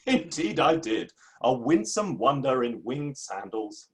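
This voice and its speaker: A man's voice: medium pitch, big pitch swings, takes it slowly. A man in a play reading, acting a florid, theatrical Victorian playwright with a declamatory manner. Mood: effusive. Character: flowery, theatrical, litigious Victorian playwright and gentleman.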